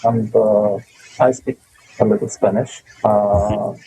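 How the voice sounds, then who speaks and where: A man's voice: fairly low pitch, level tone, slow, dark tone, breathy edge, rough recording. A man from Morocco, a native Arabic speaker with a Moroccan accent, answers interview questions in a hesitant way with many fillers and repeated words. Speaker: tourism board representative.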